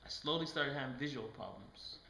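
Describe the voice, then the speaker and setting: A man's voice: medium pitch, lively intonation, brisk, vocal fry. A man giving an amateur first-person patient testimonial in a skit, in short, clipped phrases. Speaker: patient guest in a student skit. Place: indoor room, amateur student video. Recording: echoey room with background noise.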